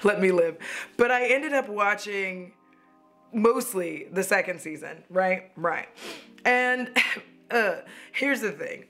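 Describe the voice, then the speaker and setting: A young woman, chatting casually while doing her makeup, somewhat hoarse from losing her voice, rambling and laughing often. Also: mid-range voice, expressive, takes it slowly, a bit bright, soft background music. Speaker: beauty vlogger. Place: at home, indoors.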